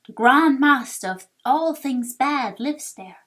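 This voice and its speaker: Girl doing a formal guild deputy from a game, high voice, goes up and down a lot. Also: animated, slow pace, fairly bright tone, full character voice. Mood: stern, instructing.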